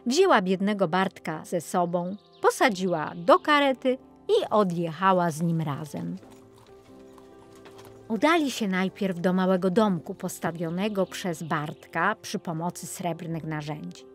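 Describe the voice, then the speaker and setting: A woman's voice: fairly low pitch, expressive, a little slow, soft background music. A woman narrating a children's fairy tale as an audiobook storyteller, reading from a script.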